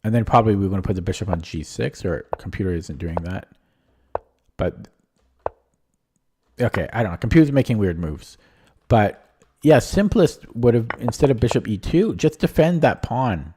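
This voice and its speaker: A man with a lowish, mellow voice that is a little strained, thinking aloud while he streams chess. He talks casually and hesitantly and keeps questioning his own moves. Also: animated, normal speed, breathy edge. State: voice strained from talking. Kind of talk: casual live-stream commentary.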